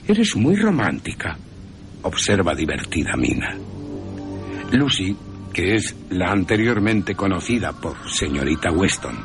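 Deep, creaky Spanish man narrating with wry drama. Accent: Spanish (Spain). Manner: wry, theatrical storytelling. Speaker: radio presenter and narrator. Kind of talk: scripted dramatic narration of a film, with character voices. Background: music.

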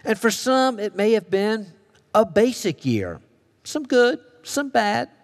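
A man's voice: high-pitched, very animated, slow. An American man preaching a sermon as a pastor, telling stories conversationally and acting out bits of dialogue. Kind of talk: sermon with storytelling. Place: church sanctuary. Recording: long reverberation of a large hall.

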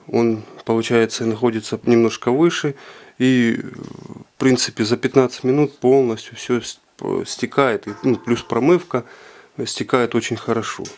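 A man giving an unscripted walkthrough of his home brewing equipment, talking haltingly with many filler sounds, recorded indoors on a handheld camera. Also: medium pitch, darkish tone. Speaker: home brewer. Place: indoor room with carpet.

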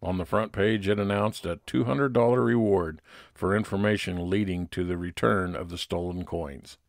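A man's voice: low voice, steady tone, moderate pace, vocal fry, no echo, clean studio sound. A man narrating a scripted first-person private investigator story in old-time radio detective style.

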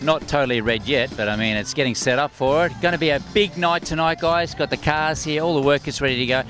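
A middle-aged man with an Australian accent, an energetic, chatty red carpet host interviewing guests outdoors on a handheld mic. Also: on the high side, fast. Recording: music playing behind.

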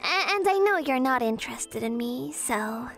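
A woman's voice: high-pitched, expressive, moderate pace, gravelly, fairly bright tone, faint music behind, heavily acted. A woman voicing an outspoken, fiercely loyal teenage schoolgirl in love, earnest and emotional, in a manga dub. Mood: shy, hesitant.

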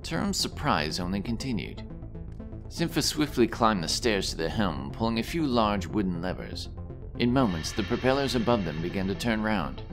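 A man's voice: fairly low pitch, sing-song, normal speed, over music, low-quality recording. A man narrating a scripted fantasy adventure story as the narrator of an audio drama.

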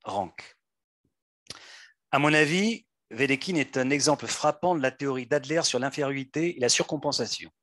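A man at a public event reading texts aloud into a handheld microphone in a conference room, in a measured, deliberate way. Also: medium pitch, a bit bright, dry recording, studio quality. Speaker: reader.

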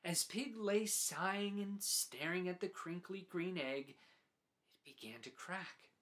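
A man in his forties narrating a children's storybook as host, with deliberate, dramatic phrasing. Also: high voice, fairly bright tone. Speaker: host reading picture books aloud.

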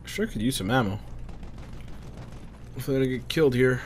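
A man's voice: medium pitch, animated, normal speed, slight background noise. A man giving casual, frustrated gameplay commentary, muttering and swearing as he plays. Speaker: gamer playing a shooter. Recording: game gunfire and ambience behind. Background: war game sounds, gunfire.

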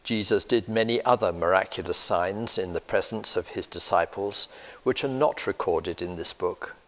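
An older man giving a steady, deliberate Bible reading in a church. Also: sits low. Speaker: scripture reader. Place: church, indoors, seated at a lectern. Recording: long church reverb.

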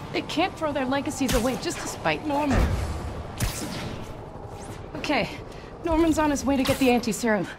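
A woman's voice: high voice, background noise, natural voice. A young woman playing an earnest, quippy, determined superhero in a video game. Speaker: voice actor. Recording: music behind the voice.

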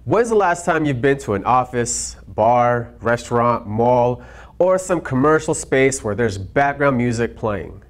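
Male host, 30s, mid-pitched voice, presenting products to camera in an upbeat way. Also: lively intonation, unhurried.

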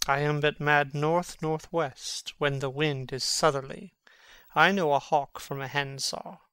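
A man acts a young prince in a Shakespearean drama, witty and mocking as he feigns madness. His voice is highish, very bright and clean, recorded close and dry. The delivery is theatrical, with a sly, sardonic edge. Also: steady tone, unhurried, clear, resonant tone, dry recording. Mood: courteous, sly. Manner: theatrical, teasing, archly sardonic.

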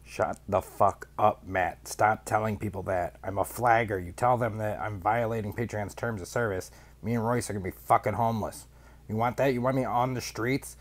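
A man in his thirties putting on a smug rival streamer who cheerfully admits to being a villain, speaking deadpan into a studio mic in a small home studio. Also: lowish voice, normal speed, natural voice. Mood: panicked. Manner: deadpan mock-confession, sarcastic. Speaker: podcast guest doing a mocking impression. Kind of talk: sarcastic in-character rant. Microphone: studio mic on boom arm, headphones.

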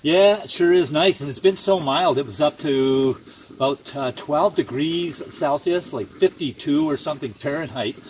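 Older host, relaxed chatty delivery, slightly high voice, faint outdoor hiss. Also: male voice, moderate pace, rough recording. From Canada. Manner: easygoing, chatty, says "eh". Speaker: channel host. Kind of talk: casual vlog chat. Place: outdoors at a night campfire in the woods.

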